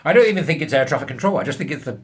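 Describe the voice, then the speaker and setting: A middle-aged British man giving sarcastic, incredulous, colloquial commentary on a news article in a husky voice. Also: medium pitch, very animated, racing. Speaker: commentary show host.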